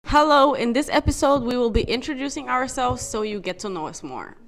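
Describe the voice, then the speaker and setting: A woman in her twenties, raised in West Africa, co-hosting a podcast and reading a measured, slightly scripted self-introduction. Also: a little high, expressive, vocal fry, faint music behind. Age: 20s. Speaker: podcast co-host.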